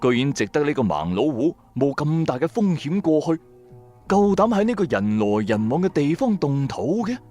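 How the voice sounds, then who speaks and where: A man narrating a radio drama in the first person, colloquial, wry and expressive. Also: on the high side, normal speed, soft background music. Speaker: storyteller, narrator.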